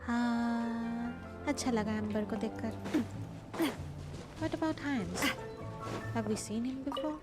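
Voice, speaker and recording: Female game streamer, Indian accent: high voice, casual bilingual chat and reactions, game music behind. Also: fairly even intonation, a little fast, long pauses. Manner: mixes Hindi and English.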